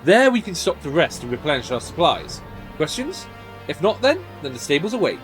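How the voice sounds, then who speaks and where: A man playing a stoic female knight commander in a fantasy game. The delivery is formal, solemn and measured, in a slightly high register over faint music. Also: sing-song. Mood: brisk, businesslike.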